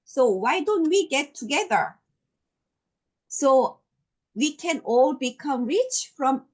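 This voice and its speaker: A middle-aged woman from Korea with a Korean accent, giving an emphatic, repetitive motivational business presentation as a network marketing presenter. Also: on the high side.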